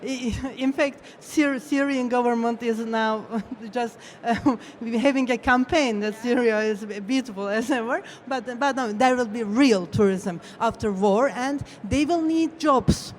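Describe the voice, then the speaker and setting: A woman panelist, not a native English speaker, answering off the cuff in a halting way with repeated starts. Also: fairly high pitch. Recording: faint live event noise.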